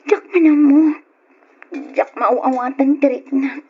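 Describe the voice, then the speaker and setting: A woman's voice: high-pitched, fairly even intonation, slow. A woman playing a young student girlfriend, sweet and evasive, in an Ilocano radio drama, with an Ilocano accent. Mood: queasy, plaintive. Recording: dull radio recording with music behind.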